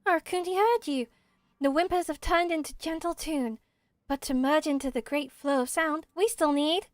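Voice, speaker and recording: A woman voicing a small, gentle, earnest forest spirit from a video game, childlike and simply spoken in measured phrases. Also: high-pitched, no room sound, lightly acted.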